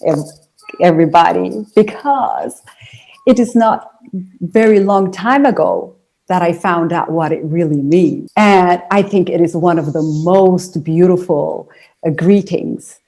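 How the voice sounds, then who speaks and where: A woman's voice: lowish voice, a little slow, vocal fry, mellow. Chatty woman on a video call. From Ethiopia. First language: Amharic. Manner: talkative, laughs easily, restarts sentences. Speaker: guest, singer and speaker. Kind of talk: casual, chatty interview answers.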